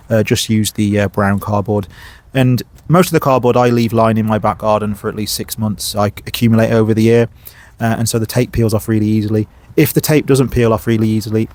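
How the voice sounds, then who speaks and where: A man's voice: on the low side, darkish tone. A man hosting a gardening vlog, talking casually outdoors at his allotment, sniffly with a cold and filling his talk with lots of uhs and ums. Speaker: gardening channel host. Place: outdoor allotment by a main road.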